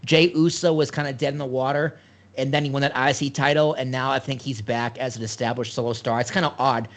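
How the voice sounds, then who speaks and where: A man's voice: medium pitch, steady tone, fast. A man co-hosting a podcast, talking loosely and enthusiastically in casual chat. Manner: loose, rambling, enthusiastic fan talk. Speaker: podcast co-host.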